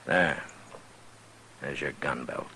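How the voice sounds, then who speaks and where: An actor playing a tough, commanding American frontier marshal in 1950s western radio style, deliberate, blunt and dryly menacing. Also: male voice, rumbling low. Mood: stern. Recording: noisy old radio recording.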